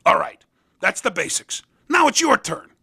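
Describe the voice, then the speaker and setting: Skid, high, raspy hoverboard hustler. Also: male voice, sing-song, normal speed, bright, forceful tone, exaggerated voice. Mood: cocky, instructive. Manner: slangy, cocky sales-pitch patter. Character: Skid, upbeat young male hoverboard race promoter and racer. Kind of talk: scripted video game character dialogue.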